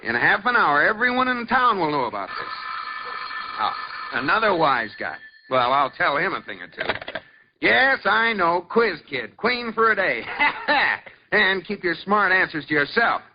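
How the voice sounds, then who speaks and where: Brief: a flustered banker husband, animated, vintage radio with hiss. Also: male voice, high-pitched, measured pace. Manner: stammering, exasperated. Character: flustered male bank vice president husband. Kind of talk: 1940s radio acting. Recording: dull lo-fi radio recording with hiss.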